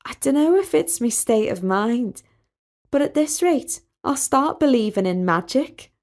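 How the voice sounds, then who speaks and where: A woman's voice: fairly high pitch, animated, measured pace, a little mellow. Woman as blunt, down-to-earth young female student. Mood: bemused. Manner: colloquial, blunt, casual wording.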